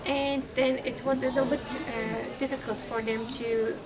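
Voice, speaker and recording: A woman from Turkey, an English teacher answering interview questions haltingly. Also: sits high, steady tone, loud music behind the voice. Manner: halting, searching for words. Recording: very loud crowd chatter behind.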